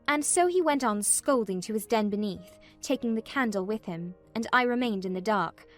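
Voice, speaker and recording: Woman playing a high-voiced, unhappy young gentlewoman. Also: lively intonation, faint music behind, natural voice. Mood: rueful recounting. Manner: literary, measured storytelling. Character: vexed, wretched young gentlewoman recounting in a letter. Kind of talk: dramatized audiobook narration.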